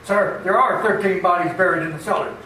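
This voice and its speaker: A man's voice: sits high, a little fast, sharp tone, a little hiss or hum. Guy playing jolly delusional fake president, radio drama, far off mic in echoey room. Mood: grave. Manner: pompous, hearty. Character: deluded, jovial gentleman believing himself President Roosevelt. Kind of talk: amateur radio play acting.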